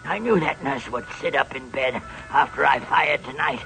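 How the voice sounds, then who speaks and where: Old-time radio villain: dying old killer, creaky and breathy, sly and bitterly amused, music behind. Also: male voice, medium pitch, animated, moderate pace, sharp tone. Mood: bitter, confessing. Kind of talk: 1940s radio detective drama acting.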